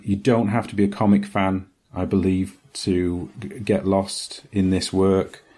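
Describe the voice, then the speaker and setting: A man's voice: low voice, warm tone. A man podcast host giving a casual solo recommendation, enthusiastic but tentative in his phrasing. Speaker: podcast host reviewing comics.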